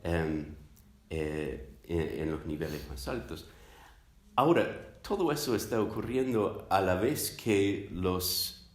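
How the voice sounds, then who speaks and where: A man from the United States, a native English speaker, answering interview questions as a scholar in a halting, thoughtful way, searching for words. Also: sits low, animated. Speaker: interviewed academic, literature scholar. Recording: roomy, echoing sound.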